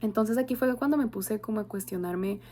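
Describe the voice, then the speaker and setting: A woman's voice: mid-range voice, brisk, dark tone, a little hiss or hum. A young woman with a Mexican accent, a university student giving an earnest, reflective oral presentation with frequent hesitation fillers. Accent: Mexican Spanish.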